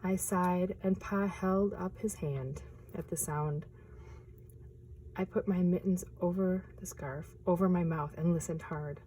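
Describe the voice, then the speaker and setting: A woman reading a picture book aloud outdoors in a forest, hushed and expressive, sometimes dropping to a whisper. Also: fairly low pitch, a little slow, slight background noise, low-quality recording. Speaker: nature preserve storyteller.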